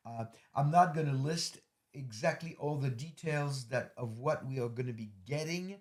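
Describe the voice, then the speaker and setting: A man's voice: mid-range voice, normal speed. A middle-aged man hosting a ministry podcast, closing an interview with rambling, filler-heavy but earnest appeals. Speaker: host, ministry leader.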